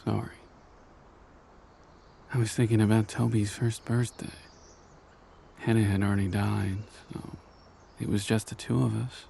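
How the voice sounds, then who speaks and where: An actor playing a gentle, soft-spoken older grandfather in an animated comic drama, talking without hurry. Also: lowish voice, a little slow, vocal fry, long pauses, slight background noise. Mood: subdued, weary. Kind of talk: animated motion-comic voice acting.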